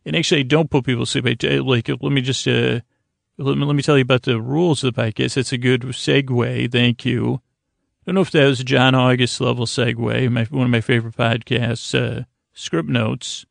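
A man with a creaky, gravelly voice hosting a bedtime podcast in a rambling, halting monologue, often interrupting and restarting himself. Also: mid-range voice, level tone, no echo, studio quality. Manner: halting, meandering, self-interrupting with many restarts. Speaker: podcast host. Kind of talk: rambling bedtime monologue to keep listeners company.

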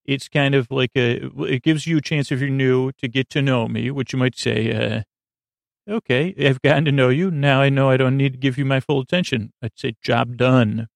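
A man hosting a sleep podcast, rambling in a soothing, creaky drawl that wanders off topic and interrupts itself with wry asides. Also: mid-range voice, fairly even intonation, moderate pace, no echo, studio quality. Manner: meandering, self-interrupting, wry. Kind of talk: rambling late-night monologue.

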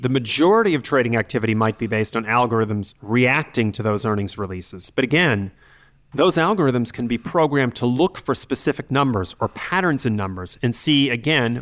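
Man, mid-pitched voice, articulate, analytical, measured, podcast expert explaining. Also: expressive, fairly bright tone. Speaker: podcast host and financial expert.

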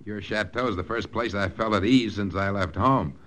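An actor playing a friendly, chatty young American corporal in an old radio drama. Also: male voice, on the low side, moderate pace, fairly bright tone, a little hiss or hum, close to an everyday voice. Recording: old radio broadcast with music.

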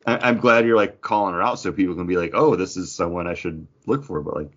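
A man's voice: low-pitched, quick pace, muffled recording. A man co-hosting a podcast, talking casually and explaining his views in a conversational way. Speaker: podcast co-host. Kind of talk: casual group discussion about comics.

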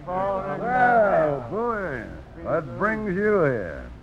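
An actor playing a deadly, threatening gambling boss and knife fighter in a 1950s radio drama, with a sneering manner. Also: male voice, high-pitched, sing-song, very slow, loud music behind the voice, exaggerated voice. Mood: menacing. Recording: dull old radio broadcast.

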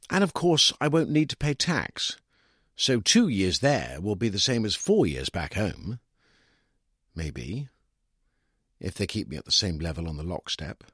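A British man reading a literary short story in an expressive, wry first-person storytelling manner, with a husky voice. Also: sits low, dry recording, clean studio sound. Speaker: story reader.

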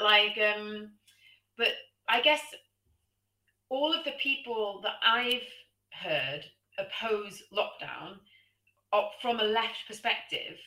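Loud bright-voiced woman on a call. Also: medium pitch, roomy sound, rough recording. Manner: loud, chatty, filler-heavy. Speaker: guest commentator. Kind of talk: political discussion.

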